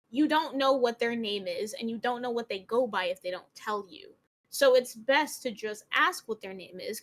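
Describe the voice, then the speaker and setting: A woman with a slightly high voice talks heatedly on a live streamed panel. She swears freely and strings her points together with plenty of fillers. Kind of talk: casual panel chat.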